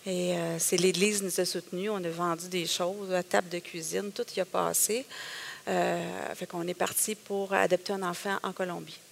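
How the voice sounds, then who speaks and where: A woman's voice: lowish voice, flat delivery, normal speed, creaky, slight background noise. A middle-aged woman with a Québécois accent, telling her personal story as a guest in a conversation, with many hesitations and quoted thoughts. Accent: Québécois French.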